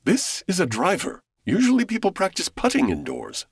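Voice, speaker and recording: An actor playing a polite, easily flustered radio announcer in an old-time radio comedy. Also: male voice, high-pitched, wildly swooping pitch, moderate pace, gravelly, slightly rough, no echo, clean studio sound, heavily acted. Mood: polite, wary. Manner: formal, courteous, flustered. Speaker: announcer and interviewer.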